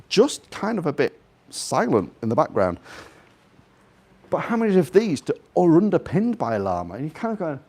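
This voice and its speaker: A man's voice: mid-range voice, a little mellow. A man with a slight Liverpool accent giving an informal, jokey, rambling live talk, putting questions straight to his audience. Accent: slightly Scouse (Liverpool). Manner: chatty, jokey, rambling, puts questions to listeners. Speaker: guest speaker. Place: live in a room in front of an audience.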